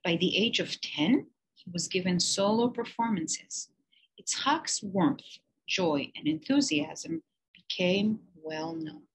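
A woman's voice: medium pitch, animated, slow pace, creaky, a little hiss or hum, poor audio. A woman teacher reading a children's picture-book biography aloud, with lifted emphasis on key words.

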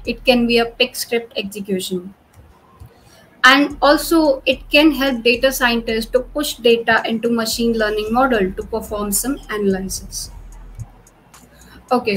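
A woman's voice: a little high, fairly bright tone, low-quality recording. Woman explaining cloud steps, filler-heavy. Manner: frequent "okay" and "you know" fillers, patient explaining. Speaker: cloud tutorial presenter. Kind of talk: screen-share technical walkthrough.

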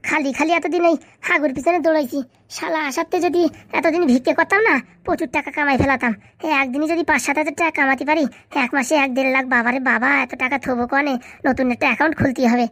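Shrill, scheming beggar wife. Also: female voice, fairly bright tone, dry recording. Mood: gloating, scheming. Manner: melodramatic wailing, drawn-out lamenting, gloating asides. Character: scheming fake beggar wife, wailing over sick husband. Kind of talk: comedy cartoon voice acting.

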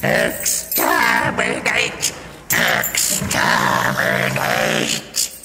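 A man's voice: extremely high pitch, sing-song, drawn-out words, gravelly, big-room sound, heavy background noise, pitched above the performer's own voice, full character voice. A man voicing a shattered, insane Dalek machine that shrieks its battle cry in a grating, staccato voice. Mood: hysterical fury. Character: damaged insane male Dalek war machine.

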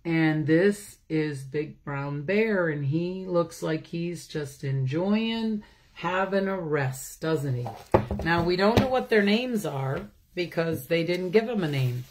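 Older female storyteller for children's picture books, very unhurried, chatty, question-asking delivery. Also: low voice, darkish tone. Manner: slow, asks listeners questions. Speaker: pastor hosting children's story time. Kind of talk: animated children's storybook reading with chatty asides. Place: small room at home, indoors. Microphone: phone or webcam at arm's length.

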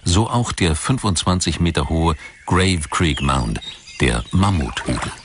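A man narrating a scripted documentary in a measured, articulate manner. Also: a bass voice, animated, background noise.

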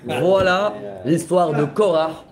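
A man in his forties, a rabbi teaching a lively Torah class in a classroom, telling stories with laughter and gestures into a close webcam. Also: high-pitched, slow, a little hiss or hum. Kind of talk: lively storytelling lesson. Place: classroom with bookshelves, indoors. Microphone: laptop webcam at close range.

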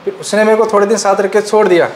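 A young male trainee gives a testimonial. His voice is notably high for a man. The indoor workshop adds clear echo. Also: fairly even intonation, very fast, a little hiss or hum. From Rajasthan, India. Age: young adult. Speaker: technical trainee. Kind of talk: student testimonial, recounting his experience. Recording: echoey room sound.

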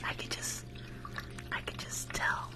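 A woman's voice: takes it slowly, close to an everyday voice. A young woman playing a rude, judgmental spa receptionist in an ASMR roleplay, snarky and condescending, close to the microphone. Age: young adult. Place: small room at home, indoors. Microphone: close furry-windscreened condenser microphone.

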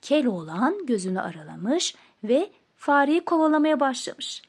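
A woman storyteller narrating a scripted fairy tale with an expressive storybook delivery. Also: sits high, moderate pace, breathy edge.

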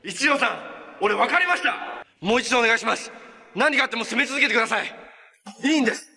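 A man's voice: very high voice, fast, gravelly, background noise. A young man acting an upbeat, kind-hearted adventurer and hero in a live-action TV superhero drama, speaking in an earnest, friendly, boyish way. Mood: urgent, determined. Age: young adult.

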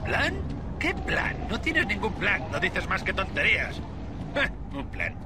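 An actor playing a sarcastic, grumbling, hot-headed soldier in a video game cutscene. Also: male voice, high voice, huge pitch swings, a little slow, heavy background noise, full character voice. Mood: irritated.

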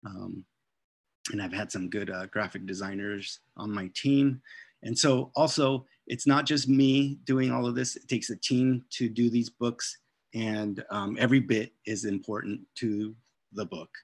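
A middle-aged man, an author and illustrator, casually presenting his artwork on a video call with an unhurried, halting delivery. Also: fairly low pitch, steady tone, husky, dry recording. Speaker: children's book author and illustrator. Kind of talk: informal slide presentation of artwork.

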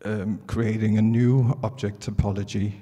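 A middle-aged Danish man with a Danish accent, giving a prepared artist's talk on stage in a halting, measured way. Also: on the low side, level tone, dark tone. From Denmark. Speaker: artist presenting at a conference. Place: large indoor theatre stage. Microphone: handheld microphone. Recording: reverberant auditorium PA sound.

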